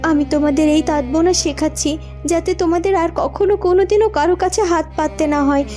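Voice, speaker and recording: A woman's voice: very high voice, fairly quick, dark tone. Cartoon princess voiced by a woman, young, solemn, stern yet compassionate, declamatory, music behind. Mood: solemn, caring. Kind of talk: children's fairytale cartoon acting.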